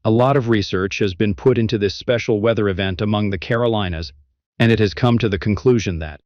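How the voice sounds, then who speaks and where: A man's voice: on the low side, a little mellow, no echo, natural voice. A man playing a grave local TV weather reporter, delivering a scripted severe weather report in a serious, formal, cautionary way. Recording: dry, dull lo-fi microphone.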